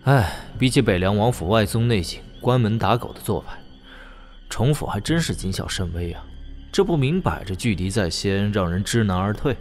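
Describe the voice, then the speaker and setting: Low-voiced wry young swordsman played by a man. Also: expressive, moderate pace, darkish tone, soft background music, close to an everyday voice. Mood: musing. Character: wry, shrewd young nobleman swordsman, talking low to companion. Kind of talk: Chinese wuxia audio drama acting.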